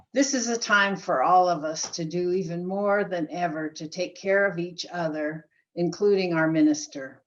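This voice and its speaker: A woman's voice: on the low side, fairly bright tone, lo-fi sound. A woman speaking as a church committee member, reading a prepared announcement.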